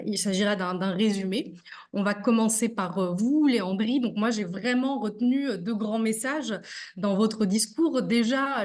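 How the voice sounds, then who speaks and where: A woman who works as an urban development expert, summarizing a panel over a video call in an orderly way. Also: mid-range voice. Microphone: video call microphone.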